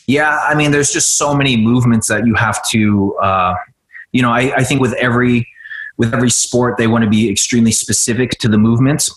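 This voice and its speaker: A man in his forties from the United States, a jiu-jitsu guest explaining things in a relaxed way with frequent fillers. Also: mid-range voice, brisk. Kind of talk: casual podcast interview. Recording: roomy echo.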